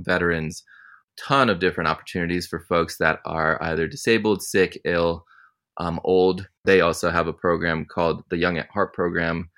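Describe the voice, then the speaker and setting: Young male interview guest, very deep voice, easygoing and reflective delivery. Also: gravelly. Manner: relaxed, thoughtful, many ums. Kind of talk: casual interview answers.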